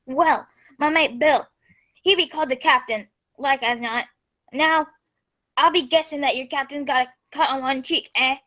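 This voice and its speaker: A woman's voice: high voice, steady tone, moderate pace, pushed and bright. A woman playing a sly, wheedling male pirate with rustic seafarer speech in a radio play.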